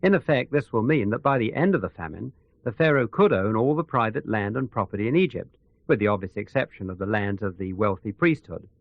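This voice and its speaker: Animated, sceptical actor as male economics pundit. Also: on the low side, brisk, no room sound, muffled recording. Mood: sceptical, analytical. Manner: considered, explanatory. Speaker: expert commentator. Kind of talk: radio news interview analysis.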